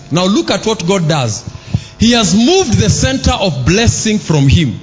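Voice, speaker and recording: Man preaching in a booming hall. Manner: emphatic, shouting at peaks and dropping low for asides. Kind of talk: sermon. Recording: live music under a long hall reverb.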